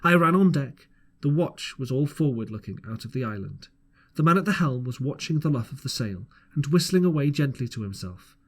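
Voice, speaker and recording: A man's voice: mid-range voice, lively intonation, fairly quick, slightly rough. A man narrating an audiobook as an amateur reader, earnest, with the odd stumble over the text. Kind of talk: scripted storybook narration.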